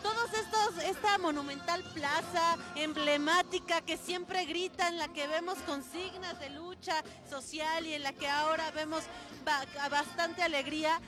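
A woman with a Mexican accent giving an improvised, halting live field report from an outdoor city square. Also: very high voice, fairly even intonation. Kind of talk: live on-location news report. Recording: busy outdoor crowd, music and vehicles behind. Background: crowd and music.

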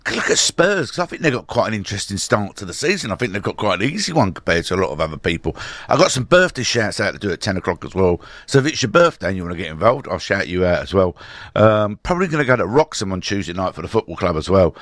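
Hoarse man, radio sports host, low voice, lively swooping delivery, dry studio sound. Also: fast, no echo. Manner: chatty, rambling, many filler sounds. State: hoarse with a slight cold. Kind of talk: casual local radio chat. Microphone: studio microphone.

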